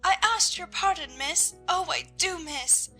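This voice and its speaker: A woman's voice: very high-pitched, measured pace, pushed and bright, soft background music, pitched above the performer's own voice, exaggerated voice. A woman voicing a humble, frightened young scullery maid with a Cockney accent, timid and stammering, in a dramatized storybook. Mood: panicked, apologetic.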